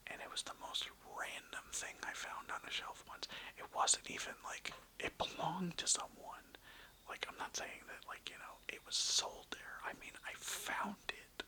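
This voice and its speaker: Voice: mid-range voice, huge pitch swings, moderate pace, sharp tone, rough recording. A young adult whispering an informal, rambling ASMR toy show-and-tell close to the mic. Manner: rambling, informal, occasional swearing. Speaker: content creator showing a toy collection.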